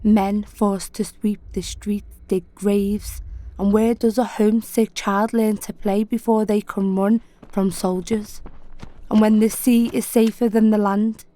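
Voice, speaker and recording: A woman poet giving a slow, measured, solemn spoken-word poetry reading. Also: mid-range voice, flat delivery, warm tone, rough recording.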